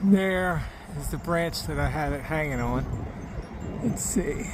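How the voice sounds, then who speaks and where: Higher-pitched casual man, noisy recording. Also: slow. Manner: informal, narrating as he goes. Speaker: hobbyist CB radio vlogger. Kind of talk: casual hands-on demonstration and radio chat.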